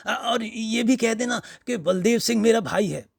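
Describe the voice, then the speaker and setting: A man voicing a hearty, flattering old villager in a storybook reading, coaxing and pleading in a familiar, emphatic way. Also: extremely high pitch, measured pace, creaky, no echo, clean studio sound, voice pushed up, heavily acted. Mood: insistent, pleased. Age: older.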